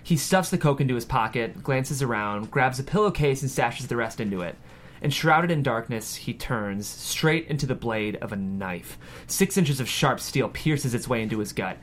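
A man's voice: mid-range voice, animated, normal speed, slight background noise. A man reading scripted screenplay stage directions as the narrator of a table read.

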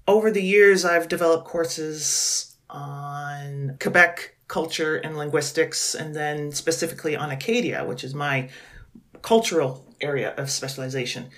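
A woman in her forties, a university professor talking in a podcast interview, chatty and self-deprecating, laughing often. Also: deep voice. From Canada. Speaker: university professor and program director.

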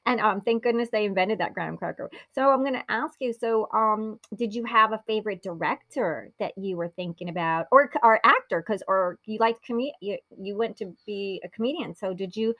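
A woman hosting a casual interview, enthusiastic and quick to laugh. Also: medium pitch, no echo, highs cut off. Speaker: host.

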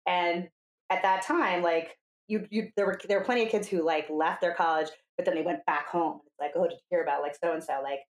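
A woman's voice: lowish voice, fairly quick. Candid American woman in a roomy echo. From New Hampshire, USA. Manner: animated, candid, acts out quoted dialogue, swears casually. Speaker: podcast guest, coach. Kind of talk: casual conversational storytelling. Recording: roomy, echoing sound.

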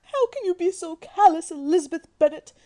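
A woman's voice: very high voice, lively intonation, fairly quick, mellow. A woman playing a melodramatic, self-pitying middle-aged mother in a radio drama, wailing and overwrought. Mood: wailing, tearful.

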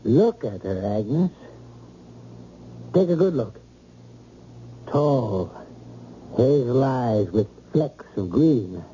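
A man's voice: mid-range voice, lively intonation, mellow. An actor playing a controlled, probing man who takes charge, in an old-time radio drama. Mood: grave, deliberate. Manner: deliberate, controlled, authoritative. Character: calm, probing man taking charge of a crisis. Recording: muffled, hissy vintage radio broadcast.

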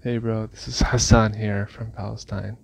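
Man from Malaysia, calm reflective voice memo, low gravelly voice, lo-fi sound. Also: moderate pace, dry recording. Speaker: listener sending a voice memo. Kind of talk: casual voice memo.

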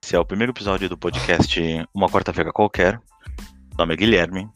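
A man's voice: deep voice, fairly even intonation, a little fast, a bit bright, faint music behind. A young man with a Brazilian accent, speaking casually as a podcast host. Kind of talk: casual chat.

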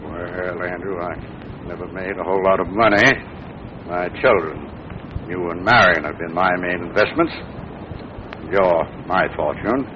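An American actor playing a kindly older father in a measured, thoughtful way in 1940s radio drama. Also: low voice. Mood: gentle, wry. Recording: muffled, noisy 1940s radio recording.

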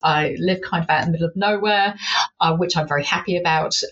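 A woman with an English accent, a law academic talking through her research in a lively, self-deprecating way with frequent restarts. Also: fairly low pitch, quick pace, lo-fi sound, noisy background. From England. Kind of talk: conversational interview, explanatory.

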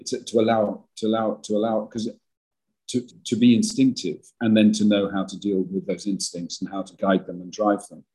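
Older male actor, interview guest. Big voice, slightly husky, low-set, rambling and self-deprecating. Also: flat delivery, normal speed, a little mellow, poor audio. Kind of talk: podcast interview.

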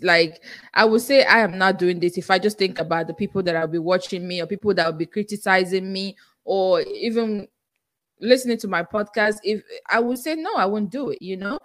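A woman with a Nigerian accent hosts her podcast, working through listener questions in an easy, chatty way. She talks straight to her audience, earnest and reassuring, with plenty of "you know" and "um" along the way. Her voice sits at an ordinary middle pitch and sounds close and dry, with no room around it. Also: no room sound. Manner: chatty with frequent fillers and restarts. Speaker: podcast host. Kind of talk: casual livestream Q&A.

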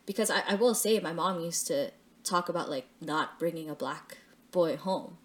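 A woman talking casually and reflectively, thinking out loud with fillers and restarts. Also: on the low side, normal speed. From Canada. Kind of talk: casual conversation.

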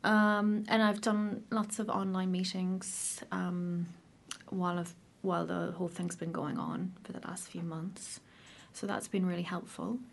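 A woman with an Irish accent, probably in her thirties, sharing her personal recovery story in a casual, hesitant way with many pauses and restarts. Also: lowish voice, flat delivery, vocal fry. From Ireland.

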